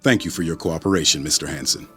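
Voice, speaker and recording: An actor playing a cold, menacing government agent in a radio drama, speaking in a formal, officious, measured way. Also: male voice, very deep voice, fairly bright tone, soft background music. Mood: coolly official.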